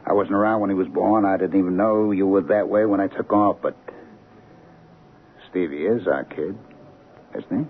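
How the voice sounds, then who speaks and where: An actor playing a shifty, self-pitying husband back from a faked death, halting and pleading, in an old-time radio drama. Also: male voice, deep voice. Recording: narrow, noisy old radio recording.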